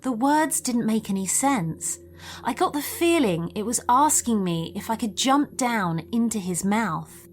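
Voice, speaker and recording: A woman's voice: on the high side, animated, unhurried, slight background noise. A woman reading a scripted first-person story as a narrator of scary tales. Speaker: narrator of listener-submitted scary stories.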